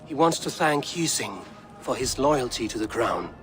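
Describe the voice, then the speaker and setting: Calm, wry Viking warrior acted by a man. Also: medium pitch, over music, natural voice. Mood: calm, persuasive. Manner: measured, wry. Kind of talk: video game cutscene acting.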